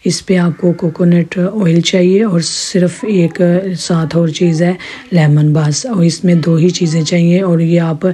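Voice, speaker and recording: A woman with a sore, hoarse throat chatting in a rambling home vlog with her viewers. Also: on the low side, warm tone. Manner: chatty, rambling with many restarts. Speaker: vlogger. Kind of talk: casual home vlog narration.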